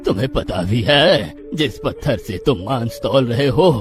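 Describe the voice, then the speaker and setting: A man's voice: mid-range voice, expressive, measured pace, natural voice. A man voicing an elderly village priest in a cartoon, exclaiming and scolding with pious alarm. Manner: exclaims in shock, scolding and fervent. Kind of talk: cartoon voice acting. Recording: background music under voice.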